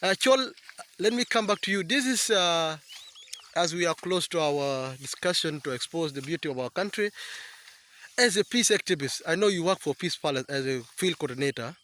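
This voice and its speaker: A man's voice: fairly high pitch, moderate pace, sharp tone. A man hosting an outdoor TV interview by a river, addressing viewers directly in a presenter's way. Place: outdoors by a river in rural bushland.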